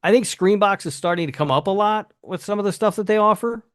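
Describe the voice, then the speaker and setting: Casual higher-pitched man hosting a podcast. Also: quick pace, no echo, clean studio sound. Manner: casual, profane, hesitant. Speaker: podcast host presenting awards. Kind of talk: casual podcast chat with announcements.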